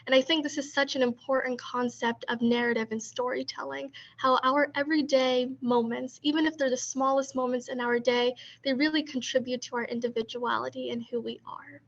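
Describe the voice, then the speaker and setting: A woman co-curator hosting a poetry gathering on a video call, reading a poem in a measured way and then talking casually with a few ums. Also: high voice, steady tone, lo-fi sound. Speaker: co-curator and discussion host. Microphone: video call computer mic.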